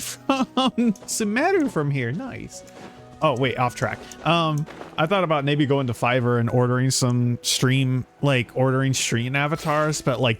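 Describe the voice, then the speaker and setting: A male game streamer talks in an informal, meandering way, as if to his regulars in chat. His pitch sits in a middle range for a man. Faint game music plays behind his voice. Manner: rambling, informal. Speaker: livestreamer playing a video game.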